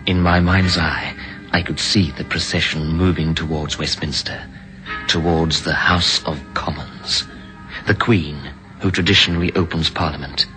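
An actor playing an urgent, exasperated man trying to stop a bomb plot, switching between brisk dialogue and grave first-person narration, in a 1950s radio drama. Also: low voice, fairly even intonation, over music, rough recording. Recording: hissy old radio broadcast.

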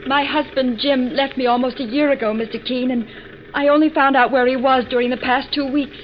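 An actress playing an anxious, wounded wife with an earnest, dramatic manner, in old radio melodrama style. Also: female voice, high voice, steady tone, a little fast, close to an everyday voice. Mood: wounded, bitter. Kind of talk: 1940s-50s radio melodrama acting. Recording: muffled, noisy old radio recording.

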